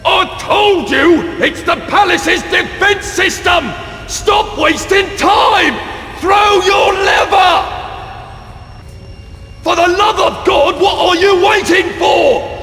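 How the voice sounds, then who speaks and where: An actor playing a frantic, bossy palace guard in a video game, impatient and excitable, with a strained, shrill voice. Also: male voice, slow pace, shouting, heavily acted. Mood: impatient, alarmed. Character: frantic palace guard barking orders at the prince. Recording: echoing hall, crowd and music behind.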